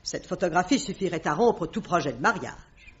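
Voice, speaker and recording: A woman's voice: fairly low pitch, expressive, lightly acted. An actress playing a proud middle-aged queen mother in a French radio drama, speaking formally and haughtily on a dull old recording. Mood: grave, confiding. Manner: haughty, formal, measured diction.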